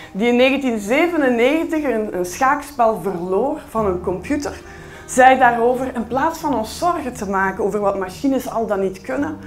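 A woman in her forties with a Flemish accent, giving a keynote speech on stage with a headset mic, measured and emphatic with rhetorical pauses. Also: on the high side. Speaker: keynote speaker. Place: large conference hall on stage.

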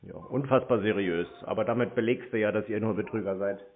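A man's voice: on the low side, a little fast. Native-speaking man from Germany, blunt and dismissive in a casual phone argument, narrow band-limited sound. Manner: dismissive, blunt, mocking, unbothered. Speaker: call recipient baiting phone scammers.

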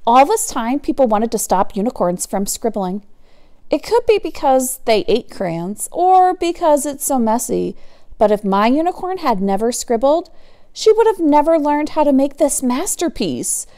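Very expressive woman reading a picture book. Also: high voice. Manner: dramatic, expressive, drawn-out emphasis on key words. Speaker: teacher reading aloud to children. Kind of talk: animated picture-book read-aloud.

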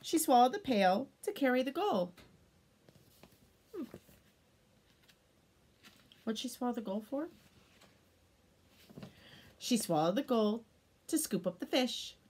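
Woman storytime reader, highish swooping voice, chatty asides, expressive, addressing young children. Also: slow pace, long pauses, slight background noise. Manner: expressive, exclaiming, explanatory, speaking to children. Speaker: picture book reader for children.

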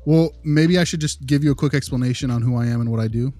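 Man, mellow middle-pitched voice, behavior analyst, polite, explanatory, conversational role-play, faint music behind. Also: quick pace. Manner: polite, explanatory, hesitant phrasing. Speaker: behavior analyst, podcast host.

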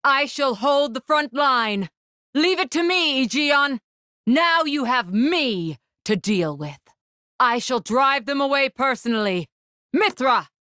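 A woman voicing a proud, composed, regal warrior spirit in a video game, with formal, commanding, measured diction. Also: fairly high pitch, fairly bright tone, dry recording, clean studio sound. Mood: fierce, commanding. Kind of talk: video game battle lines.

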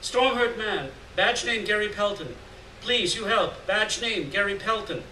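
Male reader as caring foreign woman, raised pitch, clipped broken English, echoey live story reading. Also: unhurried, bright, forceful tone, full character voice. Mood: pleading, urgent. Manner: broken grammar, short urgent reassuring phrases. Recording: roomy, distant, noisy live room recording.

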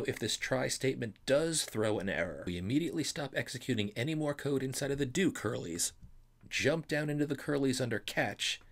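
Low-pitched man teaching a coding tutorial. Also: a bit bright. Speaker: programming instructor. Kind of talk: explanatory screencast tutorial. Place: voice-over for a screen recording.

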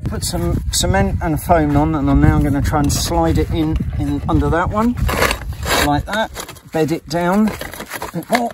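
A man's voice: fairly high pitch, unhurried. Man narrating rooftop work outdoors. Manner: matter-of-fact, talks himself through each step. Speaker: vlogger renovating a house. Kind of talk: casual narration while working. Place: outdoors on a high tiled rooftop. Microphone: handheld camera, often several steps away. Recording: outdoor recording from a distance, loud wind noise.

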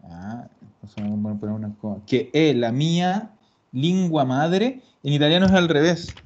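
A man teaching Italian in an online class, patient and explaining slowly, repeating words. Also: on the high side, lively intonation, a little mellow. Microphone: video call. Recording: dull lo-fi call audio.

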